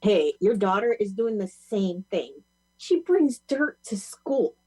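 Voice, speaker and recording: Woman as an animated, exasperated goth mother. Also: mid-range voice, slow pace, slightly rough, heavily acted, poor audio. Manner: complaining, sarcastic. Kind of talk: amateur audio play acting.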